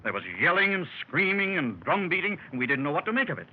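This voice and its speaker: An actor playing a daring male explorer who tells a jungle escape in 1930s radio drama style, shouting orders when the action starts. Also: fairly high pitch, very animated, fast talker. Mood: grave. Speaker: club member telling his adventure. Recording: muffled 1930s radio recording with hiss.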